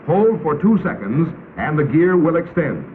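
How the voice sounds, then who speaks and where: Old 50s military training film guy, american, kinda higher voice, gives steps firmly, thin noisy soundtrack. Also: sing-song, normal speed. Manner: clear, authoritative, procedural instruction. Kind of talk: 1950s military instructional film narration. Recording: tinny, band-limited, noisy 1950s film soundtrack.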